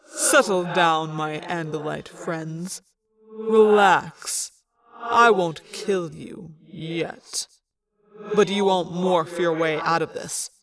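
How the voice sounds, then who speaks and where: A woman voicing a menacing male alien warlord who talks slowly and quietly with smug cruelty. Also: over music, rough recording. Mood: gloating. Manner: slow, gloating, low and quiet.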